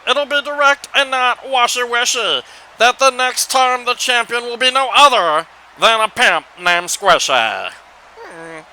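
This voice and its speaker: A man's voice: very high-pitched, lively intonation, a little slow, sharp tone, exaggerated voice. A man voicing an earnest cartoon wrestler's supporter, humming and speaking in sing-song rhymes. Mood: hopeful. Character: earnest, rhyming male supporter of a bear wrestler. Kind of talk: scripted cartoon acting.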